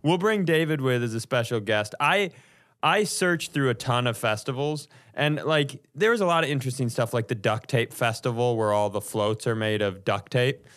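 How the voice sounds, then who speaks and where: A man hosting a podcast in casual comedic chat, telling stories in an animated way with mock-serious build-ups. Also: mid-range voice, moderate pace. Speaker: podcast host.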